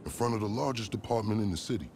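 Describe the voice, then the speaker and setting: A man playing a calculating police officer in a video game cutscene, speaking deliberately in formal, wordy phrases with some swearing. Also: fairly low pitch, a little mellow, a little hiss or hum, close to an everyday voice. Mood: smooth, confiding. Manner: deliberate, verbose, formal vocabulary mixed with swearing. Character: smooth, calculating rookie cop with a grudge against corruption.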